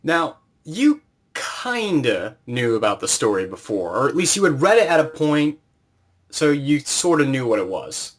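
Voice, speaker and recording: A man's voice: on the high side, big pitch swings, unhurried. A man hosting an interview in a casual, conversational way, trading recollections as he talks. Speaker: interviewer, host.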